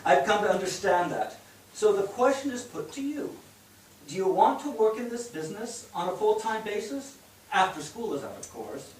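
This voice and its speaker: Man acting an animated, high-voiced family man. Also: moderate pace. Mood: earnest. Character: proud, eager Irish immigrant husband and father. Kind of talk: staged play reading. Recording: echoey stage, distant mic, background hiss.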